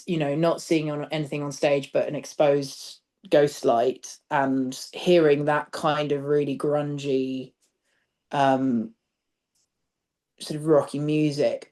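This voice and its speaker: A woman's voice: deep voice, dark tone. A woman speaking as a theatre director in a casual interview, thoughtful and discursive, letting phrases trail as she explains. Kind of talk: casual interview chat about stage work.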